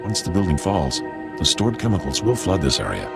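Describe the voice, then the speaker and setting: Very deep-voiced formal alien scientist. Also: male voice, sing-song, brisk, vocal fry, loud music behind the voice, full character voice. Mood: grave, deferential. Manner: formal, precise, apologetic. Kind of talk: video game dialogue acting.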